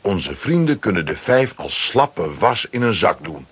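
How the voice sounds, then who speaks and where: A man narrates a children's adventure in a fairly low, heavily rasping voice. His dramatic storytelling is very animated, and the recording is close and dry. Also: a little slow, dry recording.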